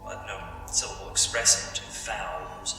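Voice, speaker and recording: A man playing a brooding, haunted narrator of a gothic poem, reciting theatrically with deliberate, drawn-out phrasing in an audio drama. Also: on the low side, flat delivery, natural voice. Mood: dreamy, uneasy. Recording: echoing hall with long reverb, distant, music behind.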